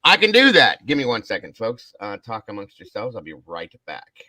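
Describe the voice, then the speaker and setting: Bright, animated man with a deep voice. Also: moderate pace, no echo. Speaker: live stream host. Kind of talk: casual hangout chat.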